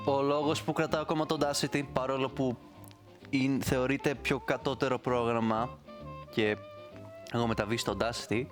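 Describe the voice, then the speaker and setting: A man's voice: mid-range voice, pushed and bright. A man giving a casual, rambling, playful screen-recorded tutorial.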